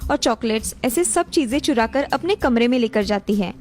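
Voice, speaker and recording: A woman's voice: a little high, expressive, normal speed, a little mellow. A woman narrator reading a scripted moral story for a cartoon. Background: music.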